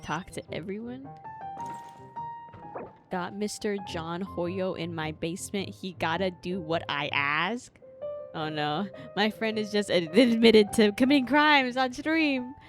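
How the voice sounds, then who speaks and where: A woman's voice: medium pitch, unhurried. A young woman live streaming a game with casual, slangy, sweary commentary, often repeating her phrases, with game music behind her. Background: video game music.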